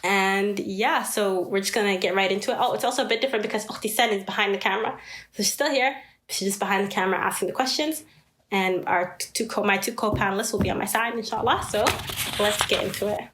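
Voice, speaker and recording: A young woman in her twenties opens a podcast episode, talking quickly and informally. Her pitch is a mid-range female voice. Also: a bit bright, slight background noise. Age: 20s. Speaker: podcast host. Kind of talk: casual podcast intro.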